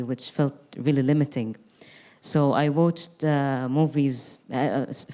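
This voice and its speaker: Young woman from gaza talking on a panel, low mellow voice, thoughtful, restarts a lot. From Gaza Strip. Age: young adult. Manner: reflective, earnest, with frequent restarts. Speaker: artist. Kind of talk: panel conversation.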